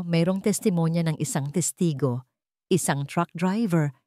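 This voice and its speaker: A woman's voice: on the low side, dark tone, no room sound, studio quality. A woman narrating a scripted romantic drama in a dramatic storytelling style.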